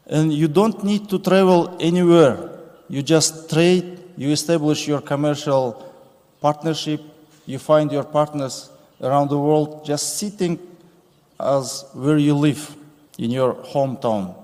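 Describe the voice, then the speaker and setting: A man, giving a formal conference speech with slow, deliberate phrasing and pauses. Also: fairly high pitch, steady tone, darkish tone. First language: Kazakh. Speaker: conference speaker. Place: large conference hall stage. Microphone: podium microphone. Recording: reverberant conference hall.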